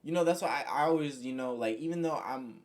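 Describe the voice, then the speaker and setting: A young man talking casually and reflectively to his viewers, rambling with lots of "you know" and "like", in a voice he calls monotone. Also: medium pitch, fast. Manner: says he has a monotone voice. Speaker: vlogger. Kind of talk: casual reflective monologue to camera.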